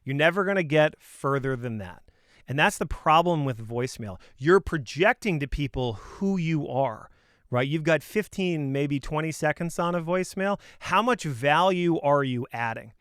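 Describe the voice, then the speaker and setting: A man's voice: a little high, animated, moderate pace, a bit bright, clean tone, dry recording, studio quality. A man coaching on sales in an informal, opinionated talk, speaking emphatically and conversationally with punchy rhetorical questions.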